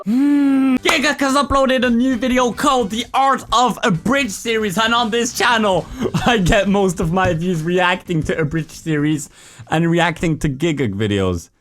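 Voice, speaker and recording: A man giving casual reaction commentary as a channel host, with exclamations and drawn-out interjections. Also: high voice, fairly bright tone.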